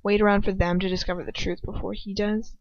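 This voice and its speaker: A woman reading as a frightened, despairing young woman who argues with herself in a halting, anguished way. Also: medium pitch, little pitch movement, no echo, close to an everyday voice. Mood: torn, arguing. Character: frightened, despairing young woman narrating her own inner turmoil. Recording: dull, muffled lo-fi home recording.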